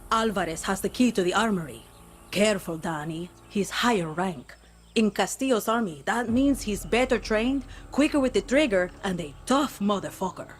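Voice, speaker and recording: A woman's voice: mid-range voice, lively intonation, slight background noise, natural voice. A woman playing a wry, tough rebel ex-soldier. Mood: brisk. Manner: brisk, instructive, wry. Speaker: guide giving mission briefings. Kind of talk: video game cutscene acting.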